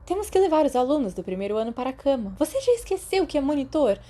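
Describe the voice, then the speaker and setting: A woman with a Brazilian Portuguese accent voicing a sharp, bossy teenage schoolgirl in an audiobook, emphatic and stern. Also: high-pitched, very animated, fast talker, pitched above the performer's own voice.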